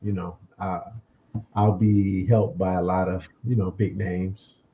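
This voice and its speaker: A man with a low, dark, mellow voice answers as a guest in a casual interview. He tends to repeat short phrases as he talks. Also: little pitch movement, slow, slight background noise. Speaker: interview guest, comic creator.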